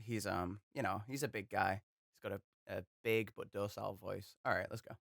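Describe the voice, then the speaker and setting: Gravelly, chatty young man. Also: fairly low pitch, fairly even intonation, normal speed, a bit bright, no room sound, studio quality. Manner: rambling, self-deprecating. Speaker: voice acting YouTuber. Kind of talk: casual chat.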